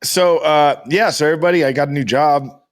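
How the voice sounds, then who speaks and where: Casual man podcasting, slightly high voice. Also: a little fast. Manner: informal, slangy. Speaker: podcast co-host. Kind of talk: casual chat.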